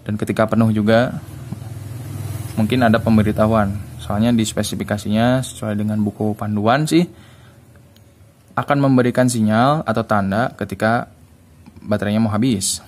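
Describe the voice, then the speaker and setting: A man's voice: on the low side, steady tone, moderate pace, pauses often, darkish tone. A man giving a casual, explanatory product demonstration as a reviewer.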